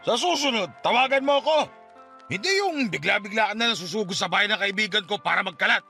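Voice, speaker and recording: A man's voice: sits high, expressive, quick pace, gravelly, bright, forceful tone, faint music behind, full character voice. An actor playing a cocky, swaggering middle-aged stepfather in a radio drama, boastful and argumentative. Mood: irritated, angry. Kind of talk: Tagalog radio drama acting.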